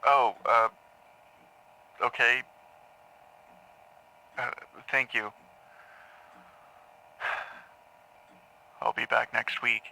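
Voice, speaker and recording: A man's voice: medium pitch, flat delivery, slow pace, gravelly, pushed and bright, slight background noise, heavily acted, rough recording. An actor playing a troubled male patient in an audio drama, confused and haunted, stammering and sometimes dropping into a near-whisper. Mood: hesitant, subdued. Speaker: therapy patient.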